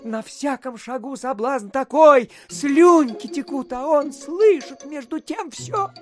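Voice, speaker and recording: An actor playing a chatty provincial official who spins a folksy, rambling tale in an audio play, full of filler phrases. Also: male voice, very high voice, slow pace, faint music behind. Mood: animated, ironic. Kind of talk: theatrical storytelling in an audio play.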